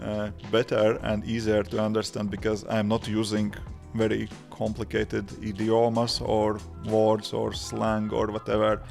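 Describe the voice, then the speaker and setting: A man with a Latvian accent talks through viewer questions on a live stream. His low voice is very gravelly and flat, and he speaks in simple English with frequent restarts. Faint music plays quietly behind him. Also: a little slow, hardly any pauses. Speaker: livestreaming developer answering chat. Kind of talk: casual live-stream chat.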